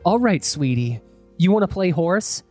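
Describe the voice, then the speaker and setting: A protective, hot-tempered dad played by a woman narrator, blunt and forceful in a storytelling video. The pitch moves a lot from phrase to phrase, over faint music. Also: lively intonation, measured pace. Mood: reassuring. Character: angry protective father standing up to bullies.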